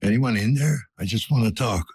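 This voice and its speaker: A man playing a weary, gruff older police detective in a cyberpunk video game, speaking tersely in a low-key, world-weary way. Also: normal speed, darkish tone, no echo, clean studio sound, lightly acted. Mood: concerned, questioning. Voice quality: husky, gravelly.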